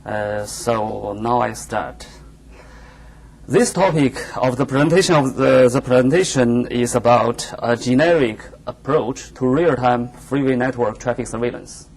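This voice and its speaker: A man's voice: medium pitch, darkish tone. Chinese-accented man giving an academic talk. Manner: formal, careful, deliberate phrasing. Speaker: visiting researcher giving a seminar. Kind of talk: academic presentation. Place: university lecture room, indoors.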